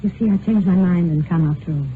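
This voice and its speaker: An actress playing a refined, anxious runaway wife in an old radio drama, with an earnest, theatrical delivery. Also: female voice, lowish voice, fast, close to an everyday voice. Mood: pleading, yearning. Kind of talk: mid-20th-century radio drama acting. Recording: noisy, tinny old radio recording.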